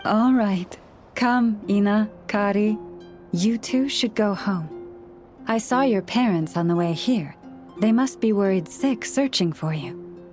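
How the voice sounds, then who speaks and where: A woman plays a serene young priestess from a video game. Her voice sits at a middle pitch, and she speaks with formal, measured courtesy. Soft music plays behind her. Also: close to an everyday voice. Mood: gently admonishing. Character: gentle, gracious young female moon priestess, serenely courteous. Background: background music.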